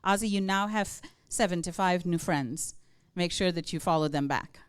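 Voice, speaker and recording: A woman's voice: lowish voice, normal speed, clean tone, no room sound, clean studio sound. A Guyanese woman emceeing a live event, upbeat and coaxing the audience to join in. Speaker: master of ceremonies. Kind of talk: lively event hosting. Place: large event room.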